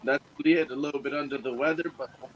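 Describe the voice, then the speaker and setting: A man's voice: mid-range voice, fairly even intonation, very fast, a little hiss or hum, rough recording. Congested man from Ontario. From Ontario, Canada. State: congested, losing his voice. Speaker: guest caller.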